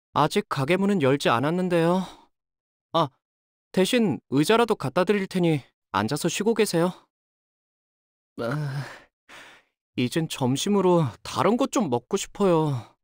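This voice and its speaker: A man's voice: a little high, no echo, clean studio sound. A male actor playing an earnest, modest young boat repairman in a video game, with a boyish, polite and slightly hesitant manner. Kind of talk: video game character voice lines.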